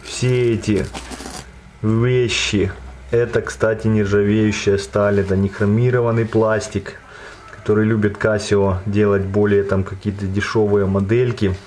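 A hoarse man giving a chatty, enthusiastic, slangy unboxing review of a watch, talking from off camera at a table. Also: fairly low pitch, flat delivery, a little slow, a little mellow, slight background noise.

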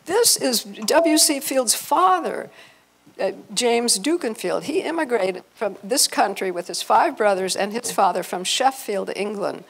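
An older woman presenting an informal family-history slide talk, halting and often restarting her phrases. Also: a little high, animated, gravelly. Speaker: presenter, speaker at a convention. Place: hotel conference room, before a seated audience.

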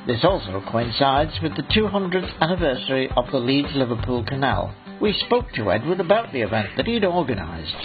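A man's voice: mid-range voice, big pitch swings, brisk, few pauses, phone-quality sound, over music. A man reading scripted newsreel narration.

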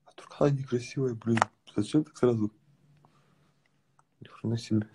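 A man in his twenties with a slight Dagestani accent, chatting casually with callers on a phone livestream from a sofa at home, relaxed and teasing. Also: mid-range voice, moderate pace, noisy background. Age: 20s. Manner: relaxed, teasing, mumbles at times. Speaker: livestream host. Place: small room at home, on a sofa, indoors. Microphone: phone front camera held close.

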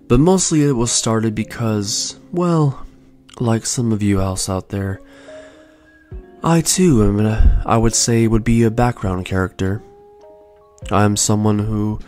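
A young man in his twenties hosting a podcast, telling his own story in a soft, slow, soothing way. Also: lowish voice. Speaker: podcast host. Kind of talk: calm reflective storytelling. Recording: faint lo-fi music bed. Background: soft lo-fi music.

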